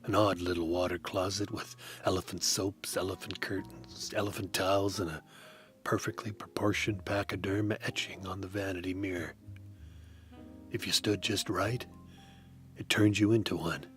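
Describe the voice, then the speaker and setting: A man narrating a hardboiled detective story in a dry, deadpan, wry manner. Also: low-pitched, moderate pace, soft background music.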